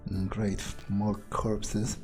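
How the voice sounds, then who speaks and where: Slow, mellow low-voiced man musing. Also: level tone, faint music behind. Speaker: game player. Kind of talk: in-character roleplay commentary, thinking aloud.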